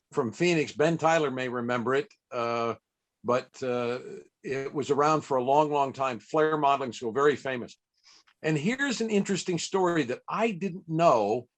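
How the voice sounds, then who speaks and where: A man speaks as a host on a casual video call, at a middling pitch. He reminisces in a chatty, folksy manner, often pausing as he pieces a story together. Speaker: interviewer and radio event host.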